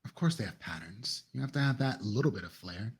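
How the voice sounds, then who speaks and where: A man playing a tabletop role-playing game, improvising casually in and out of character with a husky voice. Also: lowish voice, lively intonation, a little fast.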